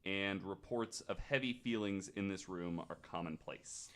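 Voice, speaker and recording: Man, low-pitched voice, American podcast host, casual storytelling, halting and conversational. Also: fairly bright tone. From United States. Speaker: podcast host telling ghost stories.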